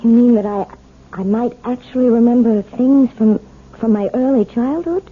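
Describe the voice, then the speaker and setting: A timid, fearful wife, with a raised, breathy voice and a quiet, reserved manner. The sound is thin and phone-like, from an old radio broadcast. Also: female voice, a little high, moderate pace. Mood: uneasy. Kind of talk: 1970s radio drama acting.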